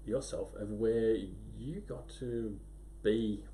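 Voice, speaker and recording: A man's voice: on the low side, fairly even intonation, takes it slowly, dark tone. A man hosting a podcast, introducing his guest in a hesitant way with many restarts. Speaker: podcast host. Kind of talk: casual interview chat. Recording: faint background noise.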